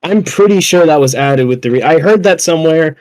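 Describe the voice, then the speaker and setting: Excitable man with a higher voice. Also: fairly quick, dry recording, rough recording. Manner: excitable, slangy, profane. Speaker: podcast panelist. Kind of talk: casual chat.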